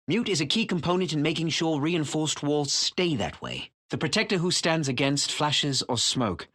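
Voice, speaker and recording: A man's voice: mid-range voice, fairly bright tone, no echo. A man playing a composed special-operations director in a video game, delivering formal, articulate assessments with dry appreciation. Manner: formal, articulate, erudite phrasing. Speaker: military instructor character in a tactical shooter game. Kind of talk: scripted voice lines, measured evaluative commentary.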